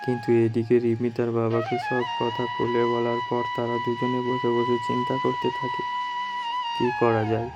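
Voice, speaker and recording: A man's voice: medium pitch, monotone, moderate pace, dull audio. A man narrating a scripted children's cartoon story. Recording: loud music behind.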